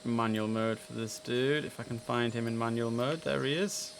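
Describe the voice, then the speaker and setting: Creaky-voiced man, casual game commentary. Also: medium pitch, fairly even intonation, moderate pace, slight background noise. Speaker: streamer playing an air traffic control simulator. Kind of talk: casual game commentary with clipped radio calls.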